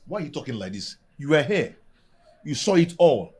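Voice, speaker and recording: An actor with a Nigerian accent playing a proud young house servant, protesting with repeated, stammered complaints in a film drama. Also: male voice, fairly high pitch, big pitch swings, moderate pace, darkish tone, breathy edge. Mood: grumbling. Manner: indignant, repetitive, stammering protests.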